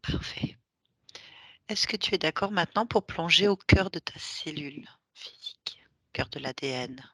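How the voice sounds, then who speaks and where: A woman hypnotherapist guiding a session, asking short patient questions softly, often in a whisper. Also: low-pitched, lively intonation, normal speed, husky, no room sound.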